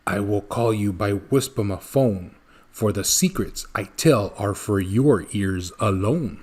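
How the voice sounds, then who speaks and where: A man voicing a secretive old recluse who tells a storybook tale in rhyme with dramatic, drawn-out words. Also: fairly low pitch, a little mellow, husky, voice pushed down. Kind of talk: storybook read-aloud in rhyme.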